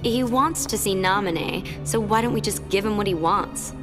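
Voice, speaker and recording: A woman's voice: medium pitch, moderate pace, natural voice. A woman playing a smug, scheming, cruel villainess in a video game, taunting and condescending. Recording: music behind the voice.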